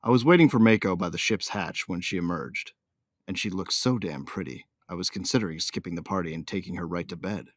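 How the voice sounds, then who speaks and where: A man's voice: low-pitched, brisk, no room sound, clean studio sound. A man playing a cocky, hot-tempered bounty hunter who tells his own story in a brash, colloquial, hard-boiled way. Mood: smug, easygoing. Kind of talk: first-person dramatised audiobook narration.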